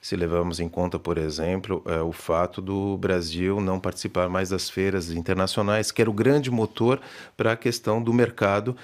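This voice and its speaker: A literature professor answering radio interview questions in a measured way, with many hesitations and restarts. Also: male voice, sits low, flat delivery. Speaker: literature professor and festival organizer.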